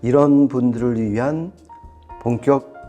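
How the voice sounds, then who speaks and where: A man's voice: mid-range voice, unhurried, mellow, soft background music. A man reviewing audio products in conversational commentary, explaining things with casual, emphatic phrasing.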